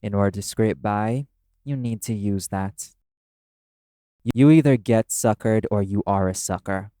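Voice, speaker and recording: A man's voice: fairly low pitch, unhurried, mellow, dry recording, studio quality, lightly acted. A man playing a brash, cynical male demon who speaks bluntly and scornfully in an audio roleplay. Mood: cynical, dismissive. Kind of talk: dramatic audio roleplay addressed to the listener.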